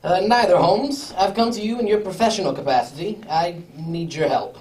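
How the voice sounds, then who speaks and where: A woman's voice: on the low side, normal speed, darkish tone, recorded from a distance, slight background noise, lightly acted. A woman playing a polite, well-off lord with a formal, slightly hesitant manner in a radio drama. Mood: earnest, worried. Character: well-off, courteous English lord, earnest client. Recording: echoey room, off the microphone.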